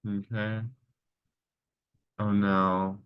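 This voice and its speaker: A young man getting over a cold, talking through a coding test in a halting, self-correcting way. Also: lowish voice, monotone, smooth, dry recording, highs cut off. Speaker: student solving a coding test. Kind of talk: think-aloud coding walkthrough.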